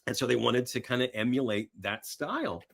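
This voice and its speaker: A man chatting casually and enthusiastically as a podcast guest about films, halting and rambling, sometimes voicing imagined reactions. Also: medium pitch, expressive, vocal fry. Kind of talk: casual film talk in an interview.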